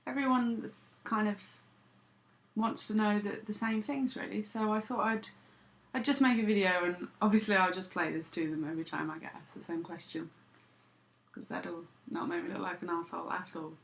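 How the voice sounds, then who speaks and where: A woman's voice: medium pitch, steady tone, normal speed, creaky. A woman, vlogging to camera in a dry, self-deprecating, sarcastic way. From United Kingdom. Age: 30s. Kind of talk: casual talking to camera.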